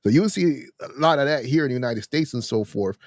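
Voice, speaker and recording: A man's voice: medium pitch, expressive, brisk, no room sound. Man explaining loosely, dry close sound. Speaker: panelist. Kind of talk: casual chat.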